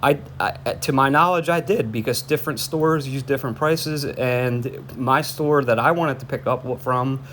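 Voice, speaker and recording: Male caller making a complaint by phone, mid-pitched, halting and repetitive, insistent, with light noise on the recording. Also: fairly bright tone. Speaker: caller reporting a suspicious order to police. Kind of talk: phone conversation, explaining a complaint. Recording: noisy phone call recording.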